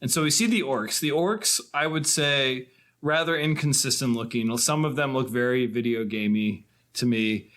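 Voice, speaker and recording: A man's voice: mid-range voice, normal speed, a bit bright. Male film podcast host. Informal, conversational, slangy, tends to ramble. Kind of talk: casual chat about films.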